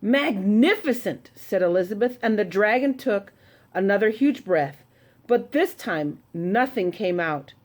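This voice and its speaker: A woman telling a children's story to a congregation, expressive and animated. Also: mid-range voice, a little slow. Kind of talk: reading a children's story aloud.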